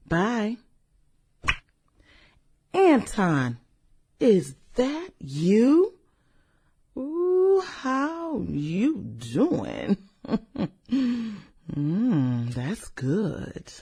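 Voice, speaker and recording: Woman acting sultry demanding businesswoman, radio satire, breathy voice, swooping intonation, unhurried, theatrical, coquettish. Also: mid-range voice, big pitch swings, mellow, exaggerated voice. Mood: flirty, teasing. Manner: theatrical, coquettish, drawn-out exclamations and giggles. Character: sultry, demanding, manipulative businesswoman juggling rich lovers.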